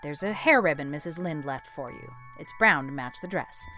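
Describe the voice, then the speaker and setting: Stern middle-aged spinster, woman actor, tart, disapproving, low, expressive. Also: moderate pace, faint music behind. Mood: brisk. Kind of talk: audiobook character acting.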